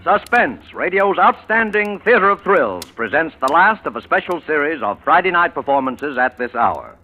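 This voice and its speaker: A man's voice: fairly high pitch, sing-song, moderate pace, highs cut off, over music. A man introducing a radio thriller as announcer, dramatic and declamatory, on a thin old broadcast recording. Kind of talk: show introduction.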